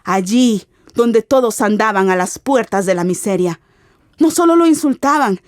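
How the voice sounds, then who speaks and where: A woman's voice: fairly high pitch, no echo. Dry-sounding woman narrating dramatically. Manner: expressive, theatrical. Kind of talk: dramatic scripted literary narration. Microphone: close studio mic.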